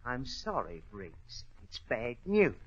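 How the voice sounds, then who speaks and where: A man's voice: mid-range voice, wildly swooping pitch, slow, husky, full character voice. An actor playing a desperate, vengeful gunman in 1940s radio style, menacing, bitter and agitated, on a muffled old recording. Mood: bitter, accusing.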